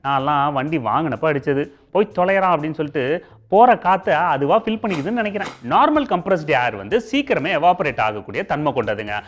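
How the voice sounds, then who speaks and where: A male TV host with a Tamil Nadu accent and a fairly high voice. His pitch moves around in a very lively way as he explains facts in a conversational style, talking straight to the viewer. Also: fast talker. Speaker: TV show host. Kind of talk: informational presenting in colloquial Tamil with comic asides.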